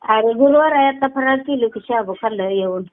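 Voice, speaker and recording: Older female prank-call target, rural Maharashtrian accent, earthy and sharp-tongued, heard through a thin phone line. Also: on the high side, a little fast, no room sound. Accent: rural Maharashtrian Marathi. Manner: blunt, earthy, curses freely. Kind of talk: casual phone chat. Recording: tinny, phone-like band-limited sound.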